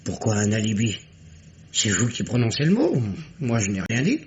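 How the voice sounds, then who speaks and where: Animated, needling French deputy detective. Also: male voice, on the low side, moderate pace. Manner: insinuating, mock-polite, animated. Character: male deputy police detective, insistent, needling, skeptical. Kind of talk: French radio mystery drama acting. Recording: dull, noisy old radio recording.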